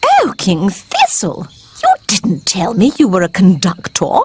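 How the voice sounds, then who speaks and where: A woman's voice: medium pitch, wildly swooping pitch, unhurried, slight background noise. A British woman voicing a snooty, gushing fairy queen in a children's cartoon, with an affected posh manner. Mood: condescending.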